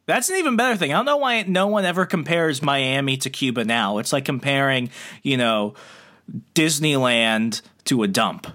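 A man's voice: medium pitch, sharp tone. A man co-hosting a podcast in casual chat, blunt and joking as he trades quips with his co-host. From Puerto Rican family. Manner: blunt, joking, quick to banter.

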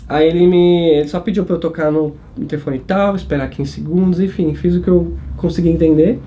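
Young man, brisk and slightly high, mellow tone, self-mocking storyteller. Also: fairly even intonation, slight background noise. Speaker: travel channel host and guest storyteller. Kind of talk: casual podcast chat.

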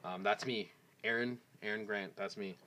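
A man's voice: fairly low pitch, steady tone, moderate pace, pushed and bright, a little hiss or hum. A young man from Canada in a casual, jokey chat, teasing his friend as a co-host. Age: young adult.

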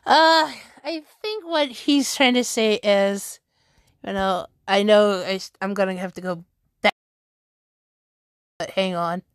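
A woman's voice: medium pitch, slow pace, long pauses, smooth, dry recording, clean studio sound. A woman chatting casually to her viewers, rambling and correcting herself as she goes.

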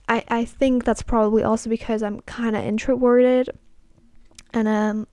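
A woman's voice: a little high, darkish tone, dry recording. Chatty, hesitant eighteen-year-old woman. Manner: rambling, hesitant, many "like" fillers; self-conscious and apologetic. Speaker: podcast host. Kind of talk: casual solo podcast chat on camera.

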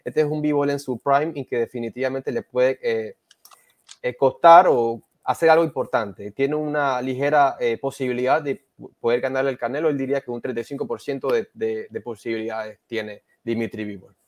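Male co-host, bilingual, fast, mid-pitched, brisk summarizer. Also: fairly even intonation. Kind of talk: bilingual interview, translating.

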